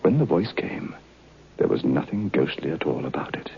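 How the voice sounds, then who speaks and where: A solemn, haunted man narrating vintage radio horror. His low voice has a gravelly edge and moves in deliberate, dramatic phrases, on a dull old recording. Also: lively intonation, heavily acted. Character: solemn, haunted man recounting a ghostly tale. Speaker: narrator and lead actor in a radio play.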